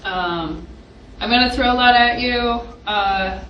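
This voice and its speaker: A young woman with a quiet voice giving an informal study-session lecture, hesitant with frequent ums. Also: a little high, slow pace, dull audio. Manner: hesitant, many ums, laughs softly. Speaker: presenter on aquatic ecology. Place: classroom with hard walls. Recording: echoey classroom from far off, background hiss.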